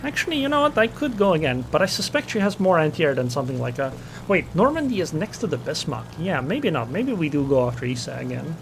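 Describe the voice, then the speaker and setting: Gamer man, slightly high, thinks aloud over loud game sounds. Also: big pitch swings, quick pace, background noise. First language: Estonian. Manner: thinks aloud, muttering plans and small reactions. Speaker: gameplay commentator. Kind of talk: casual live gameplay commentary. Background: game engine and gunfire sounds.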